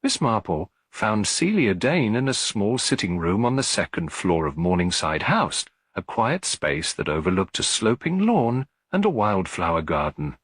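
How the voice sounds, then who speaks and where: A man's voice: fairly low pitch, sing-song, normal speed, hardly any pauses, fairly bright tone, breathy edge, dry recording, clean studio sound. A man reading a classic detective story as a scripted audiobook narration.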